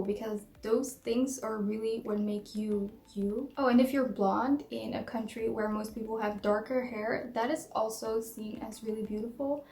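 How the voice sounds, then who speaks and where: Earnest young woman vlogger, higher voice. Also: a little mellow. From Netherlands. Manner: earnest and reflective, reads out questions then answers them. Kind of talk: casual tag video, answering questions to camera. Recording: echoey room.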